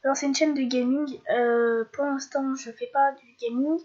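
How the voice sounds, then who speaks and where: A woman's voice: high voice, fairly even intonation, moderate pace, a little mellow, highs cut off. A young woman from France with a cold, talking through a screen-recorded tutorial, hesitant and full of filler sounds and restarts. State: stuffy with a cold. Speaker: YouTuber.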